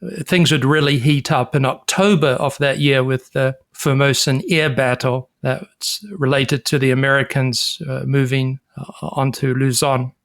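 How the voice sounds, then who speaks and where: A man's voice: mid-range voice, flat delivery, a little slow, fairly bright tone. A man co-hosting a history podcast, thoughtful and wry. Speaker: podcast co-host. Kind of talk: casual chat.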